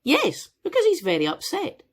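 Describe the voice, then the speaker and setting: A woman's voice: sits high, wildly swooping pitch, normal speed, voice pushed up. A woman voicing a curious little boy in an eager, questioning way.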